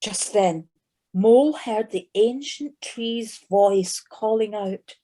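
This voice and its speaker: A woman's voice: medium pitch, slow, mellow. A woman narrating a children's animal tale in an audio play, as a scripted storyteller.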